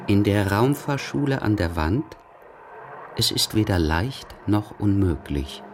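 A man with a standard German accent reads scripted literary radio narration in a measured, deliberate way. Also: lowish voice, animated, noisy background.

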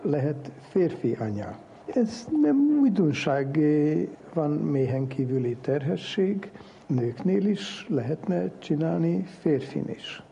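Man playing a halting foreign scientist, dark-toned. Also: a little high, sing-song, creaky. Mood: earnest, explaining. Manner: halting, searching for words, mixing in English terms. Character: earnest foreign cloning scientist from an island dictatorship, broken Hungarian. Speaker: interviewed geneticist. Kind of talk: mock science interview. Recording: muffled lo-fi with faint background noise.

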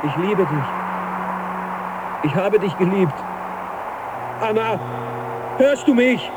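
An actor playing a dreamy, exalted husband who longs for adventure, declamatory and yearning, in a German radio play. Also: male voice, high-pitched, lively intonation, takes it slowly. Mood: pleading. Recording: noisy 1980s cassette radio recording.

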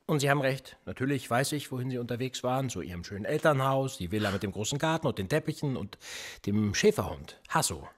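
A man's voice: mid-range voice, expressive, fast talker, lightly acted. A man playing a needling police interrogator. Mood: insinuating. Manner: needling, insinuating questions. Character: menacing male police interrogator, probing and mocking. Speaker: police officer. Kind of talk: radio play acting.

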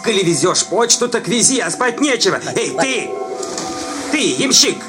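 An actor playing a jealous, grumbling village sexton who nags in folksy, church-tinged speech in a radio play. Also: male voice, high-pitched, very animated, moderate pace. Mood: nagging, impatient. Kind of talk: Russian radio play acting. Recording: loud music behind the voice.

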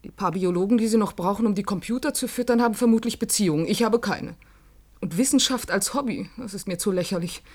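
An actress as a disillusioned wife in a dystopian radio play. Her voice sits at a middle pitch and carries brooding bitterness. She speaks theatrically, as a rebel worn down by an empty life. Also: fast talker, darkish tone, lightly acted. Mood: bitter. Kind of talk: German radio play acting.

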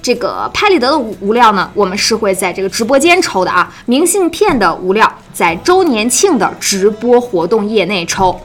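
A woman's voice: medium pitch, sing-song, normal speed, few pauses. A woman hosting a live online event in a chatty way, often repeating and rephrasing as she steers the talk along. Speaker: livestream host.

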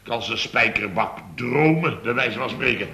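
Blustering boss, very sharp, forceful voice. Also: male voice, mid-range voice, expressive, measured pace, creaky, heavily acted. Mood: pompous, enthusing. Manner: blustering, stammering, repeats himself. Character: blustering, pompous middle-aged male building-firm boss. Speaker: actor in a radio comedy serial. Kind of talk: Dutch radio comedy serial acting, around 1970. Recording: slightly noisy vintage radio recording.

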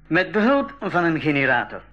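An actor playing a friendly, patient expedition leader who explains things in a radio play. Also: male voice, a little high, wildly swooping pitch, moderate pace. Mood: friendly, instructive. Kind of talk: 1980s school radio drama acting. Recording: dull 1980s radio recording.

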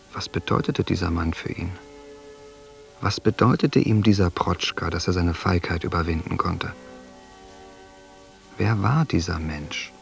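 An actor playing a bitter, brooding grown son in a radio play, narrating a clipped, reflective monologue in a gravelly voice. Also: male voice, deep voice. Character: bitter, brooding grown son puzzling over his father. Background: music.

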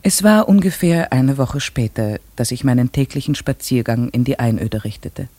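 An actress playing a young village schoolteacher in an old radio play, questioning a pupil calmly and narrating in first person. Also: female voice, extremely low pitch, no echo. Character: young unmarried female village teacher, strict but kind.

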